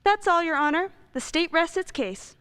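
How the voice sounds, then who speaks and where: A woman acts out a female prosecutor in a radio play. Her voice is very high and swings widely in pitch. She is brisk and assertive, quick to defend herself. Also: lively intonation, pushed and bright, full character voice. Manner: clipped, assertive questioning. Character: brisk, defensive female assistant district attorney. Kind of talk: stage-style radio play acting.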